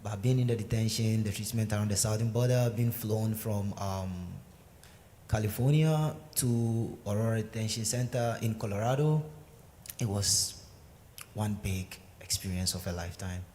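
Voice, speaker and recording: Soft-voiced young man, echoey sanctuary. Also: fairly low pitch, normal speed. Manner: hesitant, many fillers. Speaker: guest speaker sharing his story. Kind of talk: personal testimony answering questions. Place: synagogue sanctuary. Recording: echoey sanctuary with faint noise.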